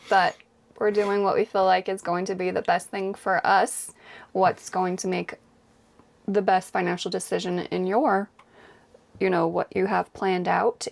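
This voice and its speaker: A woman's voice: mid-range voice, gravelly. A woman co-hosting a travel vlog, chatting casually and agreeably about life on the road. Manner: chatty, agreeable, many yeahs. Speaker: vlog co-host. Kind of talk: casual chat to camera.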